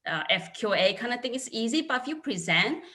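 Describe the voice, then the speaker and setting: A woman's voice: on the high side, sing-song, brisk, sharp tone. A woman in her thirties from China with a Chinese accent, speaking candidly as an accounting panelist over a video call. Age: 30s. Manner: earnest, candid storytelling. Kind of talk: casual panel talk.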